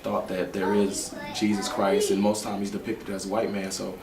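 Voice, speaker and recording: A man's voice: fairly low pitch, little pitch movement, normal speed, crowd noise. A man in his twenties giving long, earnest interview answers. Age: 20s. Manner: earnest, explaining at length. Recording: roomy echo from a distance with faint noise.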